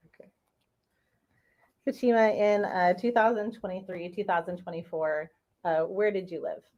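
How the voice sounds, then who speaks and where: A woman's voice: on the low side, fairly even intonation, moderate pace. A woman attorney questioning a witness in court, asking patient, methodical questions. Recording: dull, lo-fi courtroom feed.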